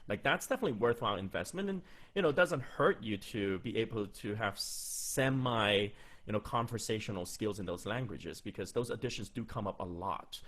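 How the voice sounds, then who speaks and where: A man's voice: on the low side, brisk, a bit bright. Chatty man in his thirties at a studio mic. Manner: chatty, loose and conversational, with frequent restarts. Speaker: voice actor giving career advice. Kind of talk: casual interview answer. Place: small room at home, indoors. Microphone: large studio mic with pop filter, headphones on.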